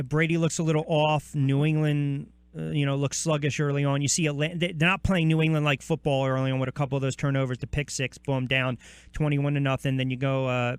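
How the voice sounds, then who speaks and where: A man's voice: on the high side, smooth, no room sound. A man with an American accent hosting a sports radio interview, chatty, with long rambling questions that restart midway.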